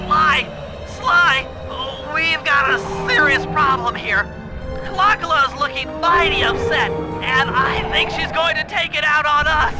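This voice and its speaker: A man's voice: very high-pitched, measured pace, over loud music, low-quality recording. A man voicing a brainy, earnest young turtle tech strategist in a cartoon video game, nasal and precise in a wordy, explanatory way. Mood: urgent, worried.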